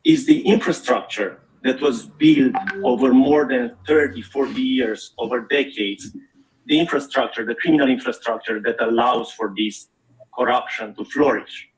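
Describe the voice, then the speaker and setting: A middle-aged man from Romania, an investigative journalist, gives an earnest, measured talk at a forum with frequent filler pauses. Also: mid-range voice, a little hiss or hum, rough recording. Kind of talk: prepared public talk.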